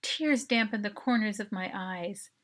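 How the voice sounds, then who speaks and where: A woman reading a first-person short story as its author, in an expressive, dramatic narration style. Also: mid-range voice, measured pace.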